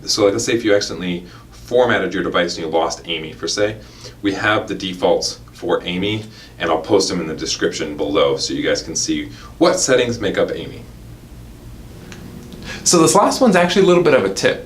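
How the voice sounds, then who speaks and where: A man with a low voice presents an informal product tutorial. He explains each step directly to the viewer in a conversational way. The recording has noticeable ambient noise behind him. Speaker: product demonstrator.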